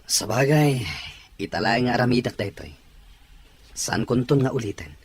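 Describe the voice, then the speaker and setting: Man playing a raspy, easygoing husband. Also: mid-range voice, moderate pace, close to an everyday voice. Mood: musing. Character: married man, easygoing drinker out with friends. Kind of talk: amateur audio drama acting. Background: faint music.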